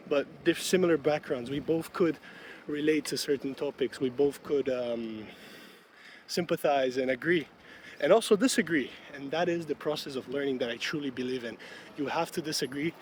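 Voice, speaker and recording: A young Brazilian man in his twenties, a native Portuguese speaker, talking in a casual, musing vlog and laughing often as he walks outdoors. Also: fairly high pitch. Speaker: student vlogger. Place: city street outdoors. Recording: street noise behind the voice. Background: traffic and city street ambience.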